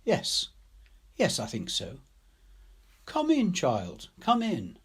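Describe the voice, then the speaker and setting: Storybook role: tender male recluse, acted by a narrator, husky, soothing, concerned, calmly in charge. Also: fairly high pitch, slow, voice pushed up, exaggerated voice. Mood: kind, concerned. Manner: gentle, reassuring. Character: kind, gentle hunchbacked male recluse painter, softly authoritative.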